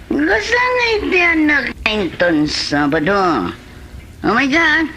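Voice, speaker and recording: An actor with an Ilocano Filipino accent playing a boastful, swaggering taekwondo master in a comedy drama. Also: male voice, extremely high pitch, wildly swooping pitch, slow pace, sharp tone, a little hiss or hum, exaggerated voice. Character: boastful, swaggering male taekwondo master who challenges others.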